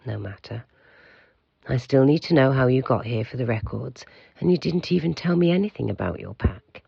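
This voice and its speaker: An actor playing the stern, secretive head of a mysterious institute in an audio drama, speaking formally and with control in a gravelly voice. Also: male voice, medium pitch, expressive, normal speed, dry recording, lo-fi sound, exaggerated voice. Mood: cool, formal.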